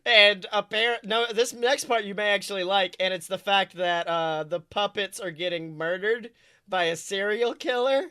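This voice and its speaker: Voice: mid-range voice, normal speed, bright, forceful tone, clear, resonant tone. A podcast co-host chatting casually and comedically about movies, rambling, jokey and loose with swearing.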